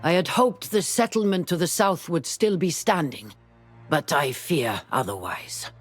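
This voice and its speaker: An actor of unclear sex playing a grave, learned leader in a fantasy video game, formal and measured. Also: mid-range voice, lively intonation, soft background music. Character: grave, learned leader worried for a threatened region. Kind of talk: dramatic video game character acting.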